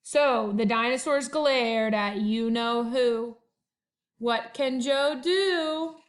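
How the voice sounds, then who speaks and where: Woman, high voice, slow pace, first-grade teacher, children's read-aloud, expressive drawn-out phrasing. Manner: expressive, drawn-out storytelling with sung refrains. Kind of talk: warm-up chat and animated picture-book read-aloud for young children. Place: at home.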